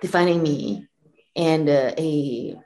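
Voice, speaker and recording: A woman's voice: deep voice, slow, dark tone, poor audio. A woman with a Chinese accent, a comedian and actress talking candidly on a video call from her office, blunt and swearing freely with many fillers. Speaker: comedian and actress, interview guest. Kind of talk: casual interview chat.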